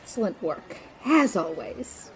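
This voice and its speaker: A woman's voice: medium pitch, sing-song, a little slow, a little hiss or hum, low-quality recording. A woman playing a tech-minded, sarcastic female sidekick who teases dryly, in an audio drama. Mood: exasperated. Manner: dry, sarcastic, teasing.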